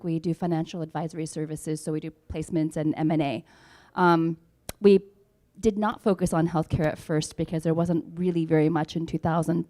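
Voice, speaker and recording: Mellow-voiced woman in her fifties. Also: lowish voice. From United States. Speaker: investment banker panelist. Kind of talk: panel introduction. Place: conference panel.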